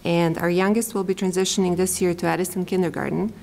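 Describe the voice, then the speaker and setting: A woman's voice: on the low side, steady tone, fast talker, vocal fry, darkish tone. Serbian-accented woman, confident and dry. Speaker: school board candidate. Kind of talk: prepared opening statement.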